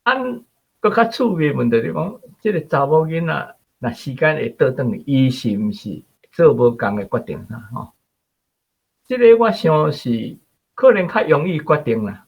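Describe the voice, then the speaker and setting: A man's voice: fairly high pitch, big pitch swings, normal speed, creaky, slightly rough, highs cut off. A man with a Taiwanese accent in casual, conversational discussion, often ending phrases with little tag particles. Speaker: radio show guest or host discussing the story.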